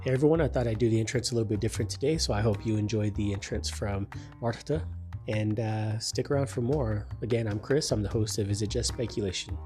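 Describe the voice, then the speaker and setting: A man's voice: on the low side, quick pace, dark tone, faint music behind. A man hosting a podcast, asking long, rambling questions in a friendly, casual way. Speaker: podcast host. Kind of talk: casual interview.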